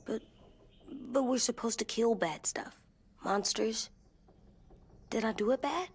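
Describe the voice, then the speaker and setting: A woman voicing a small, earnest, troubled little boy in a dramatic video game, with childlike, halting, plain speech. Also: a little high, husky. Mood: troubled, questioning. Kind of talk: naturalistic dramatic game acting.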